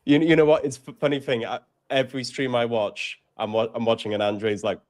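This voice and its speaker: A man's voice: mid-range voice, fairly even intonation, brisk. A man with a cold and a nasal, bunged-up voice, chatting casually on a livestream.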